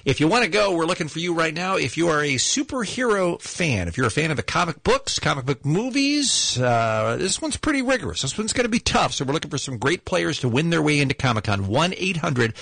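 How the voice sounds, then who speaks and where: Male radio host, studio, animated pitch, bright husky voice, dry sound. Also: a little fast, hardly any pauses, no room sound. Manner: upbeat, plugs and teases. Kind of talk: morning radio banter.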